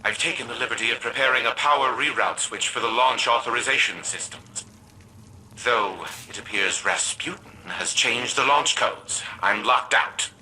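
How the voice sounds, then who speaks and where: An actor playing an arrogant older scientist living on as an artificial mind, pompous and formal in a video game. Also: male voice, medium pitch, moderate pace, sharp tone, a little hiss or hum, low-quality recording. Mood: brisk, self-important. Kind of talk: scripted video game dialogue. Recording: music behind the voice.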